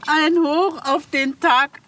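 An actress playing a weary, softly self-doubting middle-aged mother and landlady in a crime re-enactment. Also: high voice, steady tone, slow. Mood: wistful. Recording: distant mic, noisy outdoor ambience.

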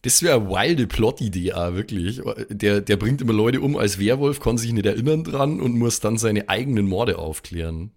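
A man's voice: lowish voice, expressive, normal speed, hardly any pauses, a little mellow. A man chatting casually as a player in an improvised tabletop role-playing game.

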